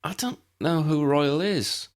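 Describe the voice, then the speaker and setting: A man's voice: fairly high pitch, takes it slowly, pitched above the performer's own voice. A man voicing a dreamy young woman seer in an audiobook reading, who blurts things out in a flustered way.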